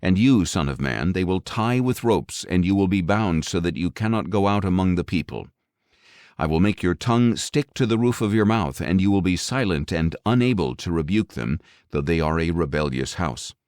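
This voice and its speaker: A man narrating scripture for an audiobook in a solemn, measured, dramatic way. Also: deep voice, animated, darkish tone, smooth, no echo, studio quality.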